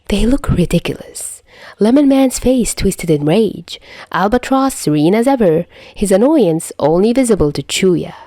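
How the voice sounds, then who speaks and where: A woman's voice: medium pitch, expressive, unhurried, dark tone, no echo. A woman reading a scripted story as an amateur audiobook narrator. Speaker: amateur fan fiction narrator.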